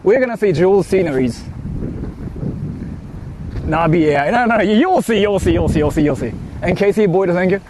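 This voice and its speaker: A man's voice: sits high, expressive, moderate pace, pauses often. A young man with a Japanese accent, chatting casually and jokingly on a live stream from outdoors, rambling with lots of fillers and repeated words. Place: outdoors in wind. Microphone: phone on a live stream. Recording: wind noise on a phone mic.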